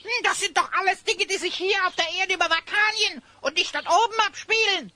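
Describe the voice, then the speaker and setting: An excitable little elf goblin sidekick from a fantasy audio play. The voice is extremely high and piercingly bright. It argues emphatically, with stubborn disbelief and repeated protests. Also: female voice, brisk, no echo. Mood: indignant, disbelieving. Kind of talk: German audio drama acting.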